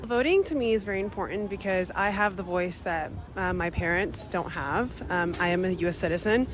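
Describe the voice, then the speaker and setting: A woman giving a sincere interview answer, pausing with "um" as she speaks. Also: lowish voice, noisy background. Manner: sincere, hesitant with "um". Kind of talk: street interview.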